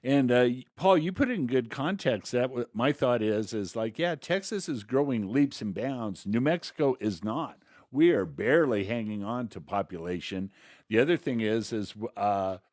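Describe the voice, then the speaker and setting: A man's voice: mid-range voice, a little mellow, no echo, clean studio sound. A man co-hosting a talk show, chatting casually about politics in a folksy, wry way. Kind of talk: casual conversational political commentary.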